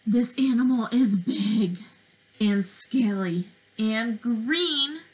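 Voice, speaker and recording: A woman in her twenties, a speech therapist, reading to preschoolers in an animated, questioning way, recorded on a webcam in a bedroom. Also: fairly high pitch, extremely slow, rough recording. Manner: animated, questioning delivery for young children. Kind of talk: interactive children's read-aloud lesson. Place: small bedroom indoors.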